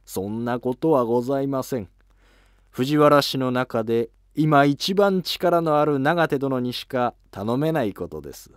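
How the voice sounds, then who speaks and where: A man voicing an ambitious, deferential court noble in an animated historical drama, speaking formally and urgently. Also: medium pitch, measured pace, clear, resonant tone, no room sound, clean studio sound, natural voice. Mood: deferential, persuasive.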